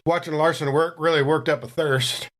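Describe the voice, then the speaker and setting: A man's voice: a little high, steady tone, normal speed, slight background noise. A man playing a tabletop role-playing game, chatting casually and narrating his character in the third person.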